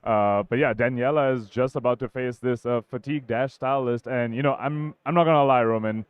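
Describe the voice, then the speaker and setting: Fast-talking male tournament commentator. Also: mid-range voice, clear, resonant tone, narrow, tinny audio. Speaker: esports-style tournament commentator. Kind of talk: live card game commentary, analytical.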